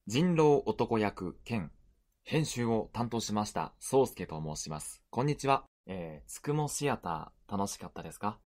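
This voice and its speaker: A man's voice: lowish voice, animated, a little slow, darkish tone. A man giving an informal cast comment as an amateur actor and editor, polite and appreciative.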